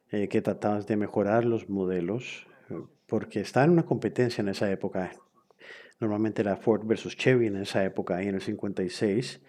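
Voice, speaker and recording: A man's voice: fairly low pitch, warm tone. A man interpreting a webinar lecture into another language in real time, hesitant, with frequent fillers and self-corrections.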